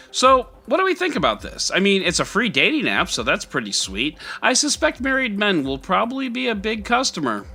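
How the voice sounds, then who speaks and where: A man's voice: a little high, sharp tone. A man hosting a tech news podcast, reading scripted items with jokey, mock-dramatic and sarcastic asides. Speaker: podcast host.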